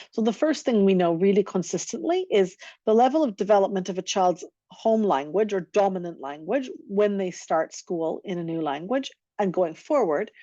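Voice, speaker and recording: A woman's voice: on the low side, mellow, dry recording, highs cut off. A woman giving a recorded lecture on teaching, explaining and running through lists of examples. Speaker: teacher trainer.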